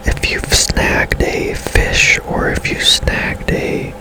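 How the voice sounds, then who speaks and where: A man whispering ASMR commentary as he plays a game, hushed and unhurried, musing aloud as he goes. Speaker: gaming ASMR host.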